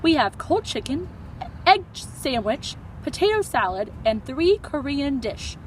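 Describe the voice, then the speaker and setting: A kindly storybook mother, acted out by a woman reading aloud, high in pitch. She talks in short, halting phrases with dropped words, over audible background noise. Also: sing-song, low-quality recording. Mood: proud, careful. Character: Mrs. Park, kindly Korean mother with clipped grammar. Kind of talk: children's storybook character voice.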